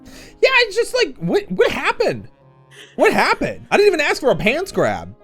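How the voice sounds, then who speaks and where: A man hosting a casual stream chat, playful and quick to jump into high squeaky exclamations. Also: huge pitch swings, a bit bright, faint music behind.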